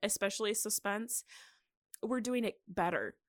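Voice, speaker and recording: A woman's voice: medium pitch, measured pace, no room sound, clean studio sound. A woman co-hosting a podcast in a casual, conversational style. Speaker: podcast co-host. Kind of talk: casual podcast chat with some scripted history.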